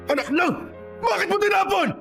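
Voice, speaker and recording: A man's voice: very high voice, brisk, creaky, a bit bright, faint music behind, exaggerated voice. A man playing a poor, exasperated, tearful old grandfather in a Tagalog radio drama, with a Filipino accent and an emotional way of scolding and pleading. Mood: angry, tearful. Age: older.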